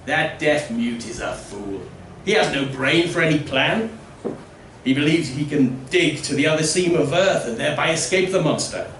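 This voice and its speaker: A man's voice: on the high side, lively intonation, normal speed, fairly bright tone, voice pushed up. A man voicing scornful, suspicious villagers in a storybook tale, declamatory and emphatic. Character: scornful, suspicious villagers gossiping about an outcast. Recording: roomy, distant miking with faint noise.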